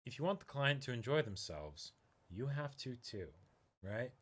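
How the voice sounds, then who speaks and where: A man's voice: mid-range voice, very animated, moderate pace, fairly bright tone. A man reading the part of an easygoing, encouraging young man in a manga, laid-back and teasing.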